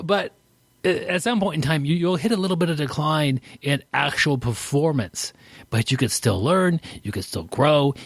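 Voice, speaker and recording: A man's voice: on the high side, no room sound. A man co-hosting a podcast in casual, rambling chat, often restarting his sentences. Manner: rambling, self-interrupting. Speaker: podcast co-host.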